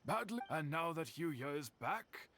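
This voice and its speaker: A thoughtful expert on blades muses deliberately in a fairly high, lively voice. Faint noise sits behind this video game performance. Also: male voice. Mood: musing.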